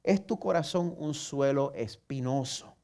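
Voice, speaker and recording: A man's voice: medium pitch, measured pace, warm tone. Male pastor, Puerto Rican accent, earnest sermon delivery, direct rhetorical questions, a touch of self-mocking humor. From Puerto Rico.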